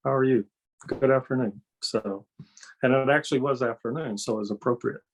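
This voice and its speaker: A man's voice: mid-range voice, a little fast, a little hiss or hum, poor audio. An older man with a mid-range voice talks as a guest on an interview show. He explains in a folksy, conversational way, slipping into anecdotes and often adding small tags to check he is understood. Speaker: interview guest, energy healer and kung fu master.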